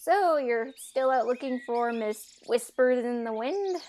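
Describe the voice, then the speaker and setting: A woman's voice: fairly high pitch, animated, takes it slowly, darkish tone. A woman playing an exasperated, sarcastic young fairytale heroine in a comedic audio drama, with modern casual phrasing. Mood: weary, sarcastic. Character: exasperated, sarcastic young Cinderella dodging a suitor.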